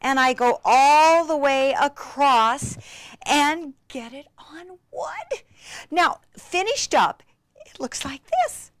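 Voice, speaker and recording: A woman presenting a craft demonstration in an excitable, gushing way, with emphatic drops into a breathy near-whisper. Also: high-pitched, slow pace, no room sound.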